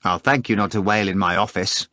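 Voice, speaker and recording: A man's voice: on the low side, fairly even intonation, brisk, bright, forceful tone, no room sound, studio quality. A man voicing an irritable, disdainful empty suit that acts as a brusque boss.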